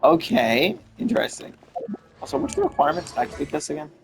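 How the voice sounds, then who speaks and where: A game streamer comments casually, musing aloud and reacting with slang. The voice is creaky and very animated in pitch, with faint music behind. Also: quick pace.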